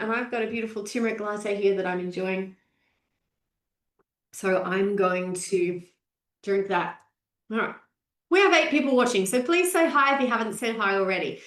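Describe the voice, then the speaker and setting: A woman's voice: high voice, a bit bright, echoey room. A woman in her forties, a nutrition coach, hosting a live-stream training and greeting viewers by name in an upbeat way. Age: 40s.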